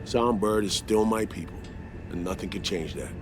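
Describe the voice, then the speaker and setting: An actor playing a weary, loyal veteran spy in a video game, speaking in a deliberate, blunt, measured way. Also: male voice, low voice, over music, close to an everyday voice. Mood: grave, reflective. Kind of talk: video game cutscene acting.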